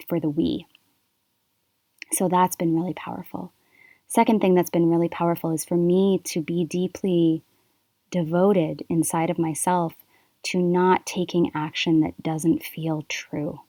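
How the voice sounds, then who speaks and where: A woman from Canada hosting her own podcast, speaking softly and thoughtfully in a reflective solo monologue with unhurried pauses. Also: deep voice, dry recording. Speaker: podcast host.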